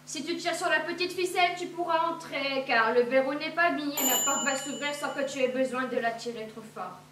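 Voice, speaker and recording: A woman's voice: sits high, brisk, fairly bright tone. A teenage girl playing a fussy, impatient old grandmother in a school play. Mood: wary. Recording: echoey hall from far off, noisy.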